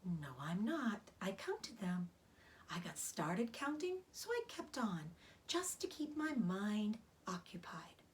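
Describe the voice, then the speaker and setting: Woman reader as aging female spider, storybook, frail half-whisper, gentle, weary, subdued, quiet dignity. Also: medium pitch, very animated. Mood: weary, assured. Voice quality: weak, soft, breathy half-whisper. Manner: measured, subdued. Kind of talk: children's storybook read-aloud.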